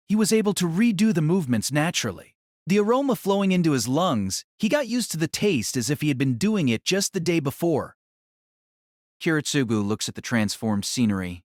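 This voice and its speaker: Lively, higher-pitched male narrator. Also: no echo, studio quality. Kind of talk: scripted narration of an audio drama novel.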